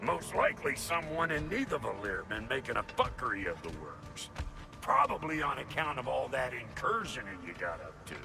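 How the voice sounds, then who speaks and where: A man voicing a brash, cantankerous dwarf blacksmith in a video game, crude and blustering in a gravelly voice. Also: mid-range voice, huge pitch swings, normal speed, over music. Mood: cocky, grumbling. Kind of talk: animated video game acting.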